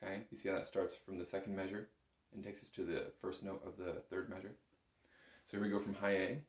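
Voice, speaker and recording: A man's voice: deep voice, little pitch movement, fast, background noise. A man giving an informal, conversational music lesson as an instructor, explaining exercises in an encouraging, self-effacing way. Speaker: bagpipe instructor. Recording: roomy, echoing indoor sound.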